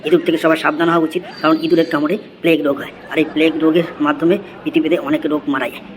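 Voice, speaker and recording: Over some background noise, a man acts out an eager schoolboy in a comedy cartoon. He recites like a rote essay, racing along at breakneck speed without letting up. The voice sits a little high. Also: level tone, rapid-fire, natural voice. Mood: earnest, reciting.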